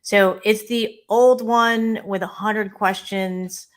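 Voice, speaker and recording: A woman co-hosting a live immigration Q&A stream, chatting and giving quick practical tips. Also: mid-range voice, fairly even intonation. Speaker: immigration lawyer co-host.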